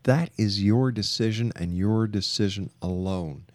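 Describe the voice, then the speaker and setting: A man hosting a talk radio interview, speaking in an emphatic, deliberate way and repeating phrases for emphasis. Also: fairly low pitch, dry recording. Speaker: radio show host.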